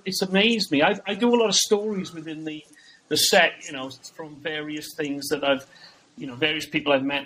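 A man's voice: on the high side, fairly bright tone, slight background noise, rough recording. A man from Wales, chatting casually as a guest on a podcast and laughing between his stories. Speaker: guest, tribute band singer.

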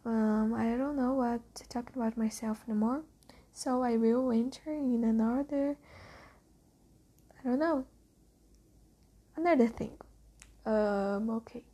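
A teenage girl from Brazil, a native Portuguese speaker with a Brazilian accent, talking hesitantly and softly in a casual spoken diary. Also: a little high, a little slow, pauses often, slightly rough. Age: teens. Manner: hesitant, many ums, often whispery and soft. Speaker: diarist.

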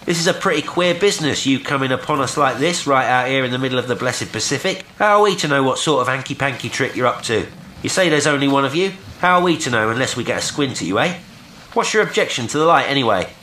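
A man voicing a blunt, practical sailor in a sea story, talking briskly and colloquially. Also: medium pitch, pushed and bright, a little hiss or hum, pitched above the performer's own voice. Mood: brisk, persuading. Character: bold, practical male sailor, blunt and reassuring.

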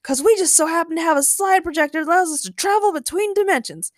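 An eager, easily thrilled guy voiced by a woman in a comic story. He shouts happily in a high, animated voice. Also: normal speed, no echo, clean studio sound. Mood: excited. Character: excitable, eager male friend, easily thrilled.

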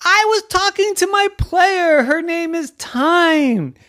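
A storyteller voicing an exasperated, excitable female basketball coach who shouts and repeats words urgently. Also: very high-pitched, slow pace, voice pushed up, heavily acted.

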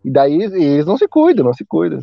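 A man from Brazil, a teacher hosting a podcast in casual chat, talkative and quick to laugh. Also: fairly high pitch, very animated, creaky, no room sound, muffled recording. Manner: chatty, laughing often, drawn-out asides.

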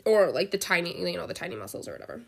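A woman's voice: fairly low pitch, big pitch swings, racing, creaky, slightly rough. A woman co-hosting a podcast in a casual, chatty storytelling way, slightly stuffed up with a head cold and full of filler words and quoted dialogue. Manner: chatty, filler-heavy, quotes people animatedly. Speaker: podcast co-host. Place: bedroom, sitting on a bed.

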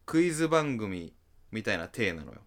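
Animated low-voiced man, casual podcast host. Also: normal speed. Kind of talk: casual chat, recommending a show.

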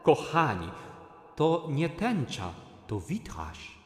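Kindly tour guide in kids audio drama, quiet low voice, calm n patient. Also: male voice, takes it slowly, echoey room, in a large hall, soft background music, exaggerated voice. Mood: kindly, explaining. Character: kindly male cathedral guide teaching children. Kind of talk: children's audio play acting.

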